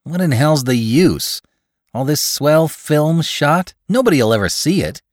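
A man's voice: on the high side, expressive, unhurried, dry recording, clean studio sound, voice pushed up. A man voicing a nervous, sarcastic photographer who exclaims and grumbles through danger in a dramatised story. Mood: scornful grumbling. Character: nervous, sardonic male photographer in mortal danger. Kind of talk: dramatised audiobook character voice.

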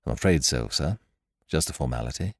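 Police inspector, played by a man: very low, courteous yet firm, officially matter-of-fact. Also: expressive, brisk, vocal fry, no room sound, studio quality, exaggerated voice. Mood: formal, firm.

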